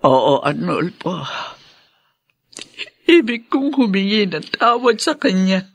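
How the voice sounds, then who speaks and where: A woman playing an ailing, remorseful older mother in a Filipino radio drama, speaking gravely and sorrowfully. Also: mid-range voice, very animated, slow pace, no echo, full character voice.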